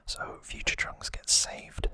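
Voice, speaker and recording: Slow, bright whispering man. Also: no echo. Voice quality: breathy, unvoiced whisper throughout. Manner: soft, unhurried, halting delivery with pauses. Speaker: ASMR host. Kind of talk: whispered ASMR story recap.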